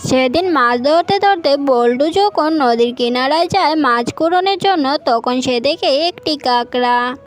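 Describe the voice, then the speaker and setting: A woman's voice: high voice, animated, a little slow, soft background music. A woman reading scripted narration for a children's fairy-tale cartoon as the storyteller.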